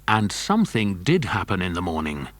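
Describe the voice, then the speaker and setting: A man's voice: sits low, huge pitch swings, normal speed. A man narrating a children's adventure story in a scripted style.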